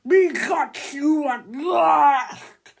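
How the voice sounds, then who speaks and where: Woman doing a shouting, gloating giant booger monster. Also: very slow, vocal fry, slight background noise, full character voice. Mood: gloating, triumphant. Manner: booming, shouted villain laughter.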